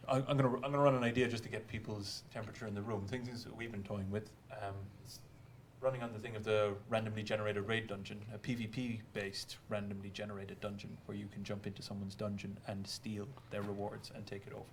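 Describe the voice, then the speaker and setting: A man talking quickly and casually as a game developer in an unscripted panel chat. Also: lowish voice, flat delivery, slight background noise.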